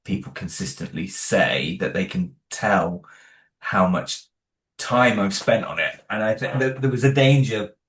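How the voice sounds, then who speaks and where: A man's voice: fairly low pitch, a little slow, a bit bright. A man, the creator of an audio drama, talking in a casual interview. He is modest and hesitant, with many restarts. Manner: modest, self-deprecating.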